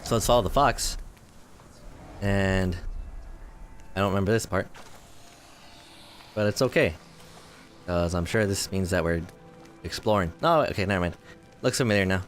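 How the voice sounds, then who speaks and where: Mid-20s guy chatting over a game, low-ish voice going hoarse, lots of you knows, faint music underneath. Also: normal speed, pauses often. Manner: rambling, self-deprecating, many fillers. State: voice tiring, slightly hoarse. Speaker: gaming video host. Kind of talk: casual let's-play commentary.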